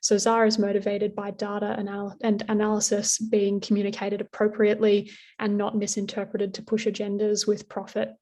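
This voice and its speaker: Monotone speaker on a panel. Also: female voice, mid-range voice. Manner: friendly, hesitant, many ums. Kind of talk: panel introduction.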